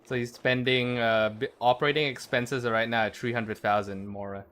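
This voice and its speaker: A man's voice: medium pitch, fairly even intonation, normal speed, fairly bright tone, smooth. A man livestreaming a video game, giving slangy, offhand commentary as he chats to viewers. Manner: slangy, offhand, muttering to chat. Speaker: livestreamer, VTuber. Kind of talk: casual gameplay stream commentary.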